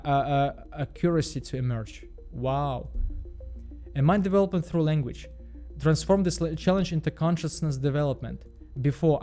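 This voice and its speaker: Halting Belarusian man. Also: fairly high pitch, warm tone. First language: Russian. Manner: halting, repeats words, frequent fillers. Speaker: vlogger. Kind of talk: casual self-help vlog monologue.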